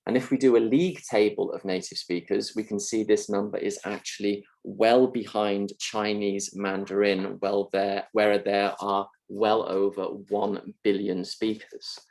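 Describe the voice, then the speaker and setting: Male linguistics lecturer, low gravelly voice, measured delivery. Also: low-quality recording. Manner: measured, explanatory, calm. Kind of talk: scripted mock lecture.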